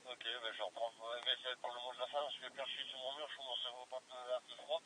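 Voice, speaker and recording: A man's voice: mid-range voice, flat delivery, fast talker. Man rambling over noisy radio. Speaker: amateur radio operator. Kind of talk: casual rambling radio chat. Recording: noisy two-way radio recording.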